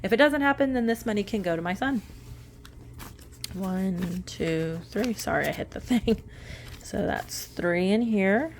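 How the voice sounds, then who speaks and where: A woman's voice: medium pitch, animated, measured pace, a little hiss or hum. A woman in her late twenties chatting casually while sorting cash into budget envelopes, rambling and correcting herself with small laughs. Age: late 20s. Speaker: budgeting vlogger.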